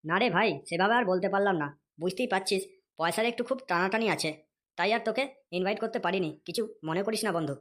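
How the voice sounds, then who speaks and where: A woman's voice: medium pitch, fast, lightly acted. Woman voicing a stingy, grumbling young man. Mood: pleading. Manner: grumbling, swears a lot. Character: stingy young man, fretful and short-tempered. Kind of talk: Bengali comedy cartoon dialogue.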